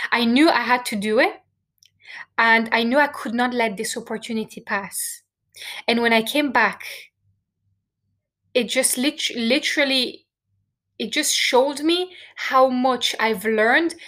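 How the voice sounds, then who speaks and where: Earnest French-accented woman, slightly high. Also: fairly bright tone. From France. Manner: earnest, heartfelt, addresses listener directly. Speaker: podcast host. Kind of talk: personal reflective monologue.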